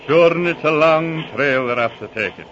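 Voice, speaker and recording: A man's voice: on the high side, moderate pace, a bit bright, lightly acted. A man playing a folksy, scrappy Irish railroad man with colourful, teasing talk, in 1940s radio drama style. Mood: wry. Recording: dull, noisy vintage radio recording.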